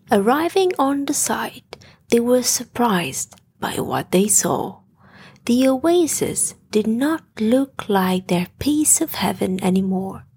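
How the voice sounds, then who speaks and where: A woman reads a children's bedtime story at an unhurried pace. Her voice is fairly high and breathy, with lively swings in pitch, and she tells it softly and gently. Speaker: storyteller, podcast host. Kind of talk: scripted bedtime story narration.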